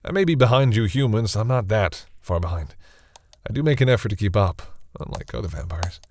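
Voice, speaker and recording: Guy doing a low voiced vampire boyfriend for audio roleplay, bashful n stammery, protective, really dry close sound. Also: lively intonation, fairly quick, darkish tone, dry recording. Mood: sheepish, enthusiastic. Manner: hesitant, stammering, easily embarrassed. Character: flustered, shy, protective male vampire boyfriend. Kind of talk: intimate audio roleplay, acted one-sided dialogue.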